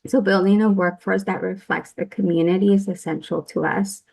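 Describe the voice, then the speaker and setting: A woman speaks earnestly for her organization. Her voice is gravelly and husky, with a mellow, relaxed tone. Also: medium pitch, normal speed. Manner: earnest, advocating. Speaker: employer panelist.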